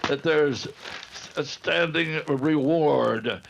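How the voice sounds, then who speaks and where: A man playing a scheming, vengeful gang leader in an old-time radio adventure, with halting, deliberate speech. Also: fairly high pitch, gravelly, lo-fi sound, background noise, exaggerated voice. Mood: scheming, commanding.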